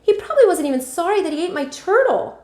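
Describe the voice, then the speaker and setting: A woman narrating a children's story aloud like a teacher, expressively and with clear phrasing. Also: very high voice, big pitch swings, quick pace, darkish tone. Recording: echoey room.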